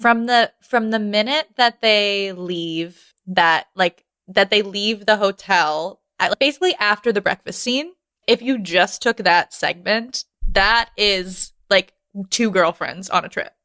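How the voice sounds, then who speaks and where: A woman's voice: mid-range voice, measured pace, sharp tone, clear, resonant tone, no echo. Casual woman podcast co-host, very dry recording. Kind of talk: casual chat.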